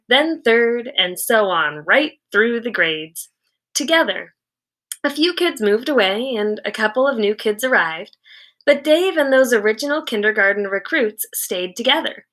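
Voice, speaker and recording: A woman reading a children's novel aloud like a teacher, with expressive storytelling and deliberate, dramatic emphasis on key words. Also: on the high side.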